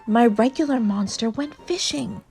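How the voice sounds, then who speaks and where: Woman voicing picky, higher-pitched storybook child. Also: moderate pace, vocal fry, warm tone, soft background music. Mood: indignant. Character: picky, giggly child daring monsters to scare them.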